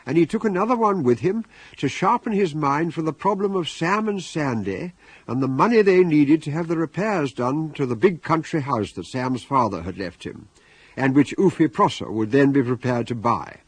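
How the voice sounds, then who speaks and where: A man's voice: fairly high pitch, expressive, moderate pace, slightly rough, no room sound. A man with a British accent giving wry scripted narration as the storyteller of a radio comedy. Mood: wry, narrating.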